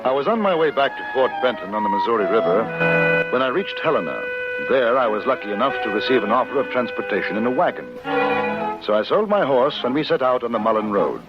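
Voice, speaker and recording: Actor as an observant frontier gentleman, vintage radio. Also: medium pitch, very animated, quick pace, close to an everyday voice. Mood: reflective. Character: thoughtful, observant frontier gentleman narrating his western travels. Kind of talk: 1950s western radio drama narration. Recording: dull vintage radio recording, music behind.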